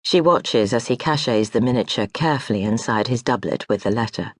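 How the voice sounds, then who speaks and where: An audiobook narrator reading historical fiction in a measured, even storytelling manner. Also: medium pitch, no room sound. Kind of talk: scripted literary narration. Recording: dry close studio audiobook.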